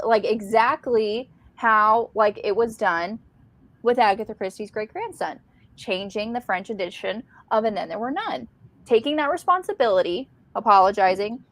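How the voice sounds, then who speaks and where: A woman's voice: a little high, dry recording. A woman, co-hosting a casual live discussion about books. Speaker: co-host of a book discussion livestream.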